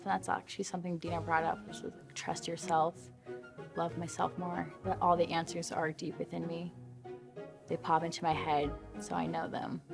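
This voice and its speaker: Creaky, flat-toned woman over music. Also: fairly low pitch. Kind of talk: casual testimonial.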